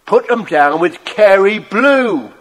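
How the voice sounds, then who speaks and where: A man playing a spiteful, bossy horse thief in a children's audio drama, rough and menacing in manner. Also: high-pitched, expressive, takes it slowly, fairly bright tone, full character voice. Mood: bossy, scheming.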